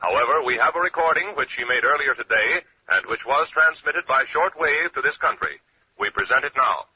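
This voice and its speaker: A man's voice: mid-range voice, animated, a little fast, slight background noise. Muffled old-time radio announcer, man.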